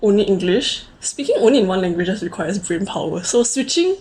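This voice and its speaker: A woman's voice: medium pitch, very animated, brisk, darkish tone. A woman in her late twenties with a Singaporean accent, co-hosting a casual conversational show. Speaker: co-host of a conversational video series. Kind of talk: casual chat.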